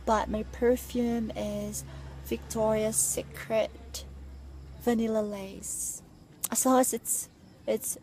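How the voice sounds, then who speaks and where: A woman's voice: on the high side, darkish tone, a little hiss or hum, poor audio. A young woman, filming herself outdoors on a phone held at arm's length and chatting playfully through a list of facts about herself, with teasing asides and giggles. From Philippines. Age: young adult. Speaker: vlogger. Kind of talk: casual chat listing facts about herself. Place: outdoors among trees. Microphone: phone at arm's length with earphones.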